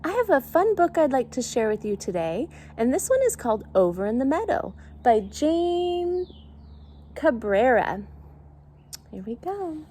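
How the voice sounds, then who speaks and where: Slow, high-voiced woman reading to children. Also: sing-song, dark tone, slight background noise. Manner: simple, child-directed phrasing with questions and explanations. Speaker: children's circle time teacher and story reader. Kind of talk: sing-song picture book read-aloud for young children.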